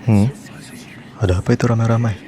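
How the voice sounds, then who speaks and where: A man playing a reserved, easygoing high school boy in a visual novel, speaking in an understated, reflective way. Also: steady tone, a little fast, warm tone, slight background noise, lightly acted. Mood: curious. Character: reserved, easygoing teenage boy, ordinary student. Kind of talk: acted visual novel drama. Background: music behind the voice.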